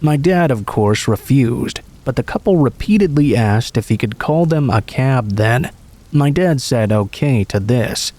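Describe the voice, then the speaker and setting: A man reading scripted first-person stories as a narrator, in a measured storytelling way. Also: medium pitch, animated, warm tone.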